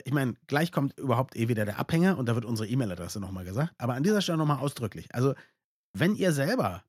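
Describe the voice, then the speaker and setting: A man hosting a podcast, chatty and brisk, with ironic asides and a direct sign-off to listeners. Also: mid-range voice, slightly rough, no room sound, clean studio sound. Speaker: podcast host. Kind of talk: casual chat and outro.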